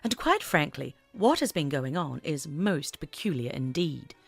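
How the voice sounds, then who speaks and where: Genteel older suffragist lady, acted by a woman reader, assured, warm, gracious, very varied intonation, storybook drama. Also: deep voice, sing-song, a little slow, creaky, slightly rough, dry recording, faint music behind, full character voice. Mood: warm, frank.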